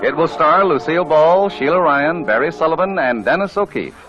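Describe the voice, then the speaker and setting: A man's voice: a little high, expressive, fairly quick. A man announcing a 1940s radio broadcast from a script. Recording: dull vintage radio recording with music.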